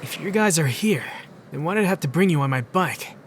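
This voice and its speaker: A man plays a tired, wry teenage boy narrating his own story in a visual novel fan dub. His voice sits a little high for a male and sounds dry and matter-of-fact, with faint noise in the background. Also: expressive, a little fast. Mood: dry, wary.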